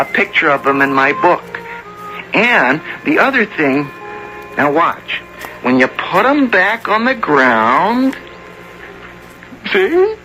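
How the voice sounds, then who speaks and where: A young man playing an eager, innocent country boy who loves nature in a radio drama, speaking earnestly and haltingly. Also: high voice, pushed and bright, exaggerated voice, poor audio. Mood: eager, proud. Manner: earnest, wide-eyed, halting. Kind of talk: 1970s radio drama acting, narrating and dialogue. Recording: old radio recording, music underneath.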